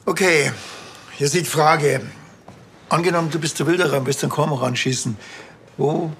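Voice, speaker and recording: Laconic, gravelly Bavarian cop. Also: male voice, on the high side, moderate pace, a little hiss or hum. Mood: deadpan. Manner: laconic, deadpan. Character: dry, sardonic middle-aged male village cop. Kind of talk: TV crime comedy acting.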